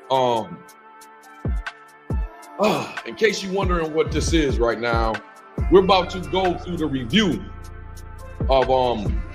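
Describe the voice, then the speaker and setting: A hoarse man in his thirties, losing his voice, hosting a casual livestream from a small room and greeting viewers by name. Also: fairly high pitch. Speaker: livestream host.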